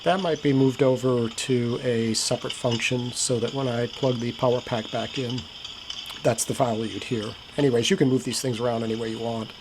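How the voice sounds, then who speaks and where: Clear background noise surrounds a man with a creaky, mid-pitched voice. He narrates a hobby demo casually and plainly, sounding like a home camera recording. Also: steady tone, normal speed. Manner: plain-spoken, matter-of-fact. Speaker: hobbyist demonstrator. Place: indoor hobby workbench.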